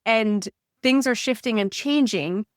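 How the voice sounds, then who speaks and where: A woman's voice: fairly high pitch, a little slow, no room sound, studio quality. A woman hosting a podcast, eager and enthusiastic as she talks with her guest. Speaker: podcast host. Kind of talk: podcast interview.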